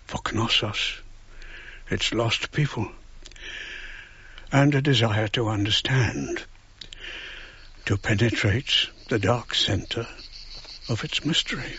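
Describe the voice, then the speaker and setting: An actor playing a regretful, reflective elderly man looking back in a radio drama monologue, with a husky, worn voice. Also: lowish voice, lively intonation, slow, long pauses, pushed and bright, lo-fi sound, heavily acted. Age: older.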